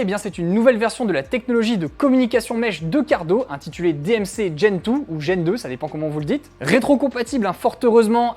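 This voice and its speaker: A woman's voice: mid-range voice, a little mellow. A woman reviewer narrating a scripted product test in an informal, conversational way, with frequent tag words. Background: faint background music.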